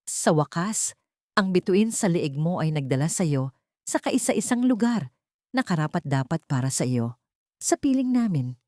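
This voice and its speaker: A woman's voice: fairly low pitch, sing-song, unhurried, a little mellow, no room sound, clean studio sound. A woman voicing a peaceful, contented elderly wealthy grandfather. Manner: serene and contented.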